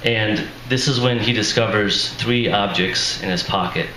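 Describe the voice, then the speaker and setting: Worn-out deep male voice, joking at himself, roomy echo, noisy hall. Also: a little slow, sharp tone. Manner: self-deprecating, chuckling asides. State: strained, tired voice. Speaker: author at a reading. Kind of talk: casual introduction to a reading. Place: live reading venue with audience. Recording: echoey room, noisy ambience.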